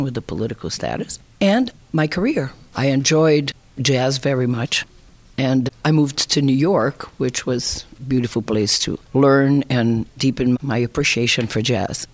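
A woman's voice: very deep voice, no room sound. A woman in her sixties from Brazil, with a Brazilian accent, telling personal stories in a reflective, conversational way as an interviewee. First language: Portuguese. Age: 60s. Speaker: interviewee, immigrant.

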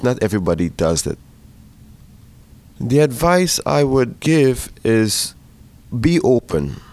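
Earnest man, lowish voice. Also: darkish tone. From Trinidad and Tobago. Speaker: church facilities worker. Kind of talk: personal interview testimony.

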